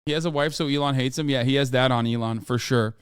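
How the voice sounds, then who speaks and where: A young man streaming casual, slangy reaction commentary, animated and full of swearing. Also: medium pitch, fast, smooth, no room sound, studio quality.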